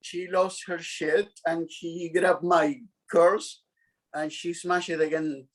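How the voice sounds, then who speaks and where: A middle-aged man being interviewed, talking frankly in a halting, self-conscious way. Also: fairly high pitch, little pitch movement. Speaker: interview guest. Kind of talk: casual interview chat.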